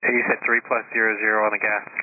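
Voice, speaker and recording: Male atc guy, lowish voice, rattles off instructions matter of fact, thin radio sound. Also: flat delivery, very fast, no room sound. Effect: air traffic radio transmission. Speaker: departure air traffic controller. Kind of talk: clipped air traffic control instructions. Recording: phone-like radio with faint noise.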